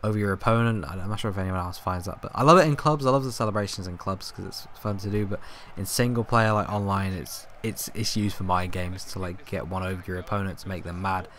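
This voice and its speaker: A young man talks over football gameplay in a somewhat low, hoarse voice that keeps threatening to give out. He rambles with ums and restarts, and a faint hum sits under the home recording. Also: fast, hardly any pauses, fairly bright tone. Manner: rambling, filler-heavy, self-correcting. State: hoarse, coughing, voice going. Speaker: gaming YouTuber. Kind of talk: casual gameplay commentary.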